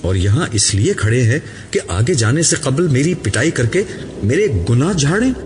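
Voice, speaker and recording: A man's voice: medium pitch, sing-song, normal speed, voice pushed up, natural voice. Anxious, wry male newcomer to the afterlife, read by a man, uneasy and questioning, noisy audiobook recording.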